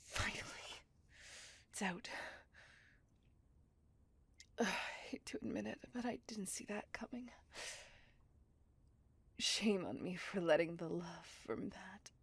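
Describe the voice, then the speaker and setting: A woman's voice: medium pitch, no echo, heavily acted. A woman playing a possessive, menacing, centuries-old female vampire in a whispery, intimate ASMR roleplay. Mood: bitter, scornful. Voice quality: breathy, whispery. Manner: slow, intimate, lingering delivery with sighs. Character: possessive five-hundred-year-old female vampire, obsessively loving, menacing.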